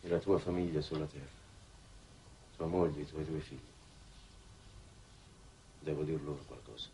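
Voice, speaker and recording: An actor playing an apologetic, uneasy envoy from Earth in a 1960s television drama, speaking formally and earnestly. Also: male voice, rumbling low, moderate pace, creaky, dark tone. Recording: slightly distant, noisy old TV soundtrack.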